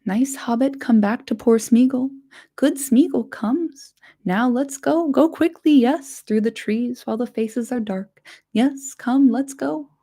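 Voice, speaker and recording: A woman voicing a wretched, greedy male creature in a hissing, high, whiny voice that slips into whispers. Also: a little slow, warm tone. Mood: wheedling.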